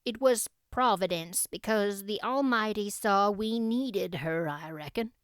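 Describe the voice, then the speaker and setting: A woman's voice: medium pitch, unhurried, clear, resonant tone, dry recording, clean studio sound, natural voice. A woman voicing a shy, proud and fond old country man, muttering in a folksy way in an audiobook. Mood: proud, tender.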